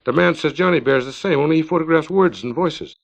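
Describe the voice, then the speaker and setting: A man's voice: on the high side, brisk, gravelly, voice pushed up. A man reading an earnest small-town man's emphatic, colloquial dialogue in a story, on a 1950s record. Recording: dull 1950s vinyl record.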